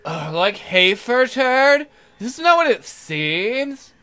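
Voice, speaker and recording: A man's voice: very high-pitched, big pitch swings, slow, pushed and bright, pitched above the performer's own voice, exaggerated voice. A man voicing a ditzy young maiden who drawls and says "like" constantly, in a visual novel playthrough. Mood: flustered. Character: ditzy young maiden with valley-girl speech.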